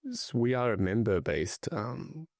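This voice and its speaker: A man's voice: mid-range voice, big pitch swings, unhurried, darkish tone, no echo, clean studio sound. A middle-aged man from Taiwan, a luxury travel advisor speaking as an interview guest in a casual, hesitant way, with many fillers and restarts.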